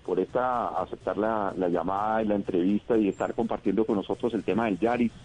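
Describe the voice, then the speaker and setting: A man hosting a radio talk show, speaking haltingly, repeating words, thanking and praising his guest. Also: fairly low pitch, a little mellow, husky, faint music behind, low-quality recording.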